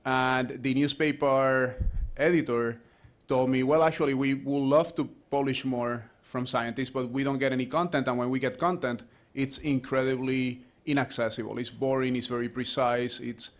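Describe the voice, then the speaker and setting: A man from Rico, an associate professor giving a conversational panel talk, full of restarts and fillers. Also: mid-range voice. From Puerto Rico. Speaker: associate professor, speaker at a round table.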